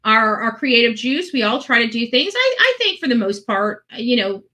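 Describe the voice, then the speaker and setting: A woman's voice: fairly high pitch, lively intonation, moderate pace, pushed and bright. A woman host talking casually and ramblingly to her online followers, earnest and emphatic, often repeating phrases for effect. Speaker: online video channel host.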